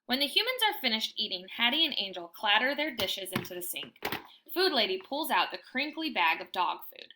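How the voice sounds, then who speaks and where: A woman reading a children's book aloud in an animated, emphatic storytelling style. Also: a little high, a little fast, background noise. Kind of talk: expressive children's book narration.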